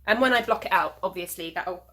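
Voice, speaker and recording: A woman's voice: lowish voice, brisk, sharp tone. A woman, hosting a knitting video podcast from home in a rambling, chatty way with many ums, a little sniffly from hay fever. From England. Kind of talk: casual video podcast chat.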